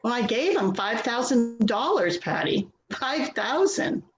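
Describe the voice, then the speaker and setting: A woman's voice: a little high, expressive, unhurried, poor audio. A woman playing an anxious, hurt grown daughter in a dramatic play reading. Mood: worried.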